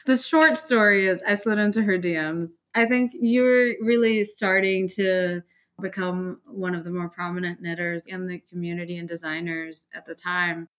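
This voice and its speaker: A woman's voice: on the low side, normal speed. Laughing woman from India. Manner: animated storyteller, laughs often. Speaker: guest, knitter. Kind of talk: casual interview chat.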